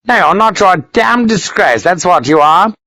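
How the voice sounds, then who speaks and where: Irascible colonel: high, blustering bark, imperious, animated, historical novel audiobook. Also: male voice, unhurried, no echo, full character voice. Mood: furious. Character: pompous, bullying, irascible English colonel barking orders.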